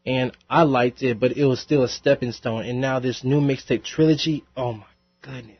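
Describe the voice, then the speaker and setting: A man's voice: medium pitch, steady tone, moderate pace, dry recording. A young man, a rapper, chatting casually in a radio interview, laughing often and rambling with plenty of fillers. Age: young adult. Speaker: rapper guest. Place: college radio studio. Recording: dry, slightly dull radio studio sound.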